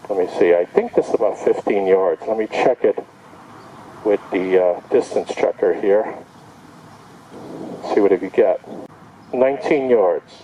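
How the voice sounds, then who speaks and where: A man's voice: on the low side, unhurried, pauses often, crowd noise, rough recording. A man giving a casual hands-on product demonstration outdoors, thinking aloud in short step-by-step remarks. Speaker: product reviewer. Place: outdoor backyard.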